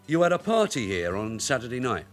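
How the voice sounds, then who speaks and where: Guy playing veteran cop, middle-aged, dry and probing, pitch moves about a lot, radio crime play. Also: very animated, fairly quick, faint music behind, lightly acted. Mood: probing, terse. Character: shrewd veteran police detective sergeant, blunt, probing. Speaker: detective sergeant. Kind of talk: radio drama acting.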